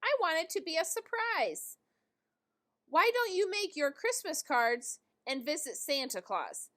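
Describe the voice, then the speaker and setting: A woman voicing a kindly, patient storybook grandmother who is firm and gently coaxing. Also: very high-pitched, very animated, measured pace, voice pushed up, full character voice.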